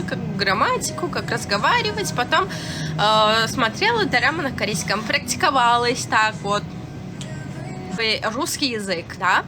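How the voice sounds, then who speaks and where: A young woman from Turkmenistan talks on a live stream in a somewhat high voice with a clear Turkmen accent. Her first language is Turkmen. She answers her viewers frankly, trips over words and restarts, and music plays plainly behind her. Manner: answers viewers' comments, chatty and frank, many fillers and restarts. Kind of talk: casual live-stream chat.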